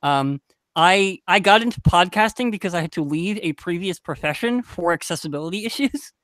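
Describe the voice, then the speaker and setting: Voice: medium pitch, expressive, a little fast, fairly bright tone, dry recording. A young adult podcast panelist speaking casually, frankly and emphatically in a group discussion.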